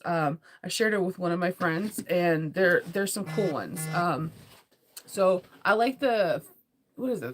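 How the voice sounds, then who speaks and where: A middle-aged American woman with a congested, cold-affected voice, chatting casually and ramblingly as a sewing vlogger on a livestream. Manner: rambling, giggly, conversational; sleepy, low energy.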